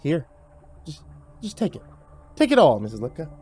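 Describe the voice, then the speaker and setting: A man giving a theatrical, expressive first-person narration of a horror story, acting out the dialogue. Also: on the high side, normal speed, faint music behind.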